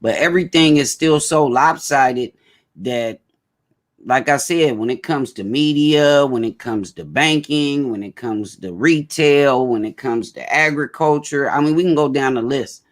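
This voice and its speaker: A man's voice: mid-range voice, unhurried. A man hosting a casual talk show, speaking emphatically and often repeating his points. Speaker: host.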